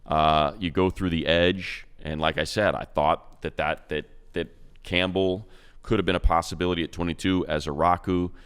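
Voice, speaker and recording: Very deep-voiced, smooth-toned man. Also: normal speed. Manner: animated, rambling, stacks up names with restarts. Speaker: co-host, team radio play-by-play announcer. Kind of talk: casual football draft analysis chat.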